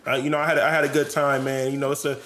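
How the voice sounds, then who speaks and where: A man's voice: mid-range voice, flat delivery, rapid-fire, echoey room, a crowd in the background. A man from Columbus, Ohio, co-hosting a casual chat, slangy and profane, full of "like" and "you know".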